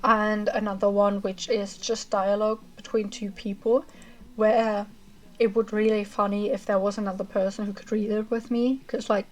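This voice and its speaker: A woman streamer chatting casually with her viewers as she wraps up a livestream, rambling with fillers and a self-described monotonous voice. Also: medium pitch, normal speed, low-quality recording. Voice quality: monotonous reading voice. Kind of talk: casual chat ending a livestream.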